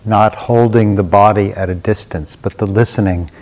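Low-voiced man guiding a slow meditation. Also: steady tone, darkish tone. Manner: slow, sparse phrases with long silences. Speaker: meditation teacher. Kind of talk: guided meditation.

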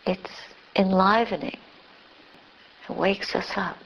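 A woman leading a guided meditation as a teacher, speaking gently and unhurriedly in short phrases with long pauses. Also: medium pitch, fairly even intonation, lo-fi sound, slight background noise. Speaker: Buddhist nun, meditation teacher.

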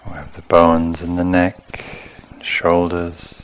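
A man teaching a guided meditation in a calm, measured manner, speaking in short phrases with long pauses. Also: sits low, a little hiss or hum. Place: meditation retreat.

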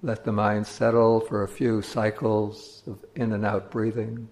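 A man teaching meditation, giving guided instructions in measured phrases with pauses between them. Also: fairly low pitch, little pitch movement, a little mellow.

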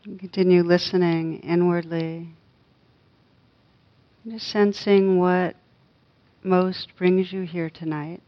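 Slow, even-voiced woman guiding meditation. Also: fairly low pitch, dull audio. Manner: gentle, unhurried, long pauses between soft phrases. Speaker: meditation teacher. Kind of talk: guided meditation.

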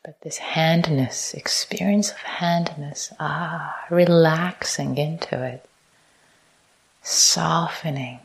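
Casting: female meditation teacher, low register, very slow and soft, breathy near-whisper, calm repeated phrases. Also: poor audio. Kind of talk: guided meditation. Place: meditation retreat talk.

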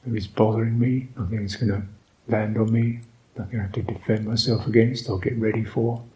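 A man guiding a meditation as a Buddhist teacher, speaking reflectively in short, halting phrases with long pauses. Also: lowish voice, fairly even intonation, dark tone, lo-fi sound. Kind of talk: guided meditation, unscripted and reflective.

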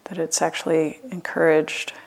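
Female meditation teacher, lower register with noticeable vocal fry, gentle and unhurried, leaving long pauses. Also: little pitch movement, rough recording. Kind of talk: guided loving-kindness meditation.

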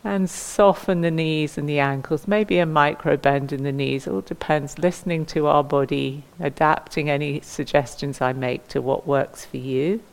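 A woman with a low-pitched voice leads a guided mindful movement practice. She speaks as a teacher, gently and without hurry. Her instructions come as invitations, with pauses left between them. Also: fairly even intonation, poor audio.

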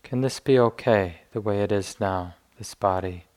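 A man leading a guided meditation as a teacher, speaking gently in unhurried phrases with long pauses. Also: fairly low pitch.

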